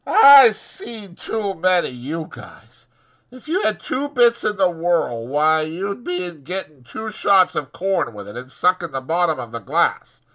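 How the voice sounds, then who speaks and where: Man putting on a brassy high-pitched scornful young woman. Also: big pitch swings, a little slow, fairly bright tone, exaggerated voice. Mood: mocking. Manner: contemptuous, brassy, slangy rural American.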